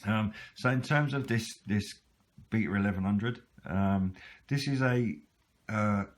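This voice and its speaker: A British man giving a casual, unscripted hobby video walkthrough in a hesitant, halting way. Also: lowish voice.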